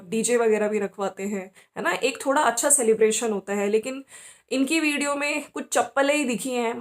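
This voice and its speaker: High-voiced woman giving opinionated commentary. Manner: conversational, opinionated, often checking with listeners using tag words. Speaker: YouTube commentary host. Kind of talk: informal opinion commentary on family vloggers.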